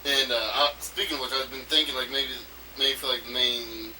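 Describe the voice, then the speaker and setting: A man giving an unscripted, rambling monologue as an amateur audio log, haltingly, repeating himself and trailing off mid-phrase. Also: medium pitch, fairly even intonation, bright, forceful tone. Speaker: amateur game designer recording an audio log. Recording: noisy amateur recording, distant from mic.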